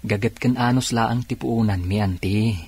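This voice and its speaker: An actor playing a humble, forgiving and hardworking nephew in an Ilocano radio drama, with a husky voice. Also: male voice, on the low side, steady tone, moderate pace, a little mellow, no echo. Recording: music under the dialogue. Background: background music.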